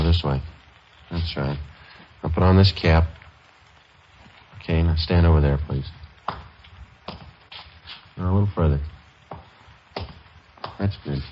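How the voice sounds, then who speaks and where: A dry, dogged male detective sergeant with a very low voice. He speaks and narrates in terse, deadpan lines. The sound is a dull old radio drama recording. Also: slight background noise. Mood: brisk, instructing. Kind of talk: 1950s radio police drama, clipped narration.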